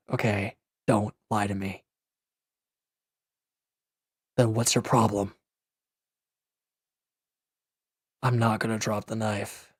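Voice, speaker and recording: A man's voice: fairly low pitch, breathy edge, no room sound, studio quality. A man playing a wounded former villain in an audio roleplay, sullen, defensive and restless, talking in a curt, grudging, sarcastic way. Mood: defensive, irritated. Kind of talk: intimate audio roleplay acting.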